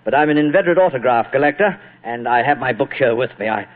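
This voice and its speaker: An actor playing a genial, flowery old British stage actor in a 1940s radio drama, affable and polite, with a British accent. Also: male voice, mid-range voice, animated, fast talker, lightly acted. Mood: ingratiating. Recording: muffled, narrow-band old radio recording.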